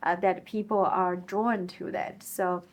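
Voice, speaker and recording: A woman's voice: on the low side, fairly even intonation, a little slow. A woman hosting a chamber of commerce interview, affirming her guests and sharing a community example. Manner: affirming, frequent listening murmurs. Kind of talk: conversational interview.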